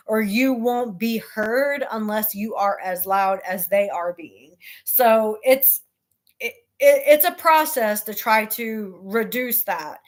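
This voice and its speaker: A mother who hosts her own show, speaking earnestly in a naturally loud voice, with frequent pauses and fillers as she talks about her life and book. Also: mid-range voice. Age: adult with children. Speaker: host.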